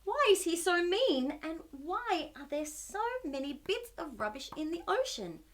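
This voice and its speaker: Very animated high-voiced woman hosting storytime. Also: a little slow. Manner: animated, exaggerated wonder, gasps, asks listeners questions. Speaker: children's storytime host. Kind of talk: children's read-aloud and singalong.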